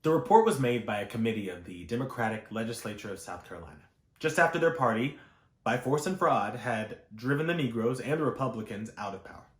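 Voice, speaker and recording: A man reading a scholarly history text aloud as a narrator, in a measured, emphatic and formal delivery. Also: medium pitch, expressive. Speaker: audiobook reader. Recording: echoey room.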